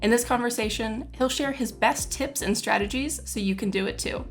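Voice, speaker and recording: A woman hosting an interview, reading a polished intro and then asking clear, prepared questions. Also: fairly high pitch, moderate pace, soft background music. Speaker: interview host.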